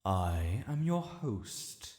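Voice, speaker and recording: In a long, echoing reverb, an ancient British dragon lord acted by a man addresses the listener. The voice is low and very slow, with formal, stately diction. The character is regal and stern yet protective, as in a fantasy audio drama. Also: big pitch swings, darkish tone, heavily acted. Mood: gently concerned. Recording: echoey cavernous reverb.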